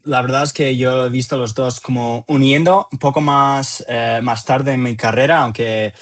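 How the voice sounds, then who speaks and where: American-accented man, halting and conversational. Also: mid-range voice, fairly even intonation. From Atlanta, southeastern United States. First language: English. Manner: hesitant, halting phrasing with repeated words. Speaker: company founder and CEO, interview guest. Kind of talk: conversational interview answers.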